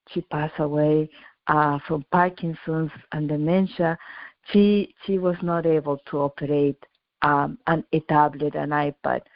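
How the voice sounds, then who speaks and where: A woman's voice: low-pitched, no echo, dull audio. A middle-aged woman from Chile, an engineer and company founder, speaking as a radio interview guest in an earnest way, restarting often and repeating words.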